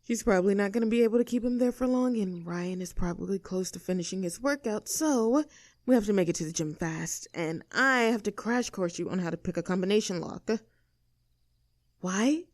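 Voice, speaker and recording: A woman's voice: mid-range voice, a little fast, a little mellow, natural voice. A woman voices a sassy young female troublemaker in an audio roleplay, with a mid-range voice. She is bossy and teasing, sure of herself, and speaks right to the listener in a very dry, echo-free recording. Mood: confident, instructing. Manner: snarky, bossy, teasing, self-assured. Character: sassy rebellious mean girl, cocky young troublemaker.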